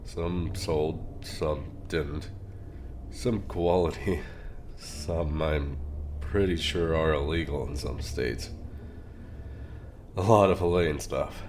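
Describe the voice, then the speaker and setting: A man acts out a bitter older publisher with an extremely low voice. He answers police slowly and grudgingly, gruff and bigoted, in an audio drama with some noise behind him. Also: darkish tone. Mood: grudging, reminiscing.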